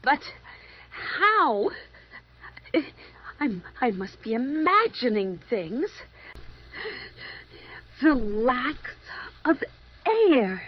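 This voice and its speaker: A synthetic woman's voice playing a plucky young reporter in halting, melodramatic 1940s radio serial style. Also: high voice, sing-song, heavily acted. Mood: stunned. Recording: dull, band-limited audio.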